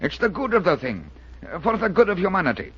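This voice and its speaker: An actor playing a kindly, hopeful older amateur inventor in a radio drama, with a folksy, halting, chuckling manner. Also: male voice, high-pitched, very animated, fairly bright tone, breathy edge, exaggerated voice. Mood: proud, contented. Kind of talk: old-time radio drama acting. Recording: dull vintage radio recording.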